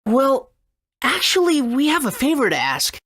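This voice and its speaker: High-voiced, earnest young hero. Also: female voice, huge pitch swings, moderate pace, fairly bright tone, heavily acted. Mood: polite. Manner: earnest, eager. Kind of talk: video game voice acting.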